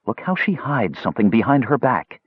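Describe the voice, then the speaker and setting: A wary storybook heroine, acted by a man, speaking low under her breath. She is urgent and wry, with a husky edge and slightly dull sound. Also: very animated, moderate pace, no echo. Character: wary, dry-witted woman companion, whispering warnings.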